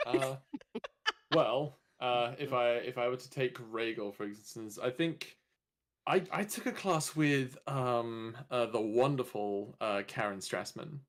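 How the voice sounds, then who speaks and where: A man's voice: mid-range voice, measured pace. Easygoing joking man in casual chat. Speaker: voice actor, guest.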